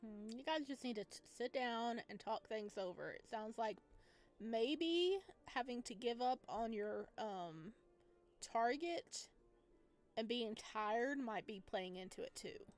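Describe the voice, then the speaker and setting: Animated higher-pitched woman reacting to a game. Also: slow, soft background music. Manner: animated, exclamatory, thinking aloud. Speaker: game streamer playing a visual novel. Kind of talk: casual reactive playthrough commentary.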